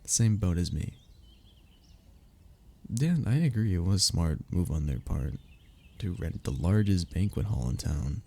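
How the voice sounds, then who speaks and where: A young man acting an affectionate, playful best friend in an intimate audio roleplay, soft-spoken and gently teasing, with a gravelly, husky voice. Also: low-pitched, expressive, measured pace, pauses often, full character voice. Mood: relaxed, fond. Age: young adult.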